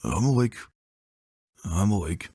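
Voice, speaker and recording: A man voicing a wounded soldier pony father, patient, weary and gentle, in a dramatic story reading. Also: deep voice, animated, measured pace, slightly rough, no echo, studio quality, heavily acted. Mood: drowsy. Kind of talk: dramatic fan-fiction reading.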